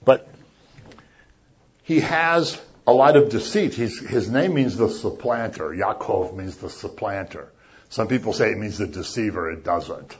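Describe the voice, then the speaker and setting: A man preaching a sermon as a pastor, chatty and animated, acting out little voices as he talks. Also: fairly low pitch, a little slow, muffled recording. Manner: conversational, animated, acts out voices.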